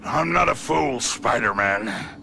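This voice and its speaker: A man's voice: mid-range voice, animated, gravelly, over music, exaggerated voice. An actor playing a pompous, cunning video game crime boss who poses as a respectable businessman and speaks in haughty, formal phrases. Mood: smug denial. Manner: haughty, formal, deliberate speech. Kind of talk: video game cutscene acting.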